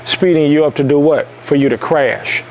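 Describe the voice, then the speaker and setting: A man's voice: fairly high pitch, moderate pace, a little mellow, slight background noise, rough recording. Emphatic man preaching with repetition. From Detroit, USA. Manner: emphatic, repeats lines for stress. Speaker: self-help video host. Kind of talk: preachy motivational monologue.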